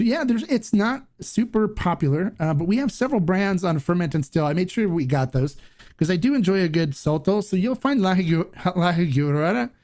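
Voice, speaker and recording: A man hosting a casual live Q&A, reading viewer comments aloud and answering in a rambling, halting way. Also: fairly high pitch, a little mellow. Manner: rambling, halting, many restarts and fillers. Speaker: host of a live Q&A stream.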